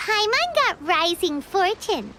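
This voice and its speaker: A woman voicing a tiny, cheerful floating fairy companion with a squeaky childlike voice in a video game. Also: sing-song, slow, a little hiss or hum, heavily acted, low-quality recording. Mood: playful. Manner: refers to self in third person, exclamatory. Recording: loud music behind the voice. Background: game music.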